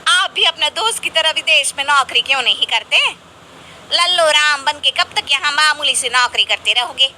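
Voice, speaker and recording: Woman playing a shrill, pushy young wife. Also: normal speed, bright, forceful tone, slight background noise, low-quality recording. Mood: nagging. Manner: nagging, emphatic.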